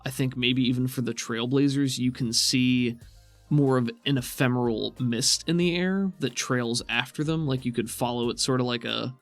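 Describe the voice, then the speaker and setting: Guy game master, casual descriptive talk, bit of vocal fry, faint music behind. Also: medium pitch, normal speed. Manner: conversational, descriptive. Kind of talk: casual improvised narration of a tabletop game.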